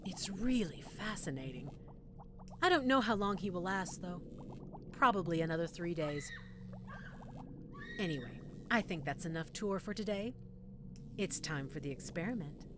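Woman acting a gleeful, animated female mad scientist. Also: fairly low pitch, moderate pace, pauses often, background noise, natural voice. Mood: smugly amused. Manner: sweetly condescending, teasing, punctuated by cackling. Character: gleeful, sadistic female mad scientist toying with her captive. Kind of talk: audio roleplay acting addressed to the listener.

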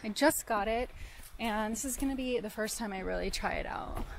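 A woman's voice: fairly high pitch, moderate pace, poor audio. A young woman from New Hampshire talking casually to her viewers while walking a forest mountain trail, recorded on a small handheld camera mic outdoors. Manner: chatty, upbeat, addresses viewers directly. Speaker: hiking vlogger. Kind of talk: casual vlog narration to camera.

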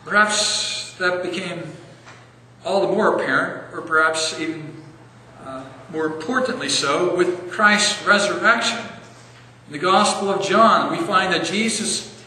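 A man in his forties preaching an earnest sermon as pastor, slowing down to stress key phrases, recorded in a church sanctuary. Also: high-pitched, pushed and bright, a little hiss or hum. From American. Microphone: stand mic a step away, filmed from far camera. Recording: echoey church hall, distant mic.

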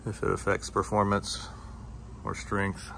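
A man casually talking through his boatbuilding project in a home garage workshop, relaxed and easygoing, recorded on a handheld phone. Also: fairly low pitch, unhurried, creaky, slight background noise. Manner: relaxed, easygoing, thinking aloud.

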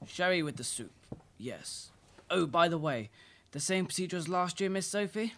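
A woman's voice: on the low side, lively intonation, normal speed, fairly bright tone. A woman acting a deferential, formal male butler in an amateur radio play, repeating himself obligingly. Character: James, deferential elderly male English butler.

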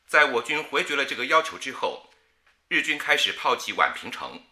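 An actor playing a grave 1930s wartime radio news announcer, reading formally in a measured, declamatory manner. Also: male voice, medium pitch, sing-song, bright, forceful tone. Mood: grave, urgent. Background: music.

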